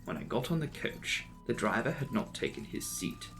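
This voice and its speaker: A man narrating a classic novel as a dramatic audiobook, with measured, formal, theatrical phrasing. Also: medium pitch, very animated, creaky, music in the background.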